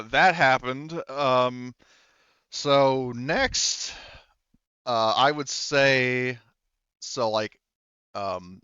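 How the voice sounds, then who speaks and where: A man speaking as game master, casually narrating a tabletop roleplaying game in a halting, improvised way. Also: medium pitch, sharp tone, clear, resonant tone, no room sound, dull audio. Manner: halting, informal, loosely improvised delivery.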